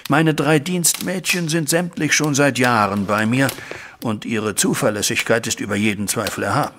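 Formal, anxious banker, creaky bright voice. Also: male voice, fairly low pitch, slight background noise. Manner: formal, wordy, deferential. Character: anxious, respectable male banker recounting his troubles. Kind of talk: audio drama acting, narrating a flashback.

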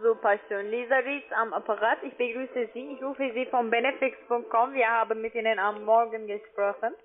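A woman's voice: on the high side, steady tone, normal speed. A woman speaking politely as a non-native speaker, working as a call-center account manager. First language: not German. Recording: tinny narrow phone line.

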